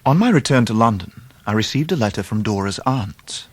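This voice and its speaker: An actor playing a composed gentleman who narrates his own story in a scripted radio drama. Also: lowish voice, lively intonation, a little fast, a little hiss or hum, close to an everyday voice.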